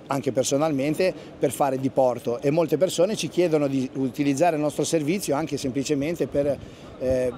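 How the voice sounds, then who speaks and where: A man's voice: on the high side, a little mellow, a little hiss or hum. Enthusiastic man at a marina. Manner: enthusiastic, repeats "assolutamente". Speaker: fishing guide. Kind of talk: interview at a boat show. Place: outdoor marina at a boat show.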